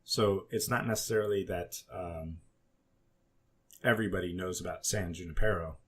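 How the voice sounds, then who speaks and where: A man co-hosting a podcast, casually discussing television in halting phrases with repeated words. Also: low voice, slight background noise. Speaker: podcast co-host.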